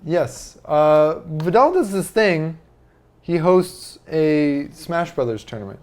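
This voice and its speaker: A man's voice: on the high side, lively intonation, takes it slowly. A young adult man hosting a podcast in a casual, chatty style. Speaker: podcast host. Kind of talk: casual chat.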